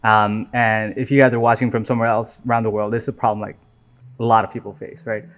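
A man in his twenties from Nepal, chatting casually as an interview guest. He talks in a relaxed, easy way, often dropping "right?" and "man" into his sentences. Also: fairly low pitch, fast. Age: 20s. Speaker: software engineer guest. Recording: dull lo-fi audio.

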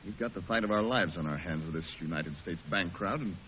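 An actor playing an earnest, persuasive cabinet secretary in a 1940s radio drama. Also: male voice, sits low, brisk, close to an everyday voice. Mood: earnest, urging. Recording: tinny, hissy 1940s radio recording.

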